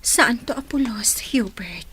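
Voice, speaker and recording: A soft, breathy young bride-to-be. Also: female voice, fairly high pitch, lively intonation, slow pace, slight background noise, heavily acted. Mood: tender. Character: earnest young bride-to-be, tender and hesitant. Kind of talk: Ilocano radio drama acting.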